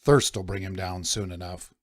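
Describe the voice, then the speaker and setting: A man voicing a gruff, snarling sailor first mate. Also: sits low, steady tone, measured pace, no room sound, clean studio sound, pitched below the performer's own voice. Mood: grim. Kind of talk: audiobook character voice.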